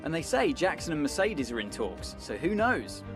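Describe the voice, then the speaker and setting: A man's voice: on the high side, big pitch swings, moderate pace, music in the background. A man as a conversational co-commentator on racing in a video game, giving opinions in broadcast style. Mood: chatty. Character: conversational male motorsport pundit. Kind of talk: broadcast race punditry.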